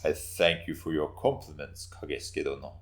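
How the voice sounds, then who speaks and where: A man's voice: a bass voice, fairly even intonation, moderate pace. A man voicing a proud, defiant samurai warrior with stiff, formal speech in a dramatic reading of historical fiction. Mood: wary, polite.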